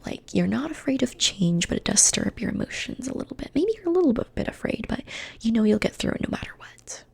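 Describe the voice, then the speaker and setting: A young woman whispering softly in an ASMR personality-quiz roleplay, slow and soothing, often repeating phrases. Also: a little high, big pitch swings. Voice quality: breathy. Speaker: ASMR host.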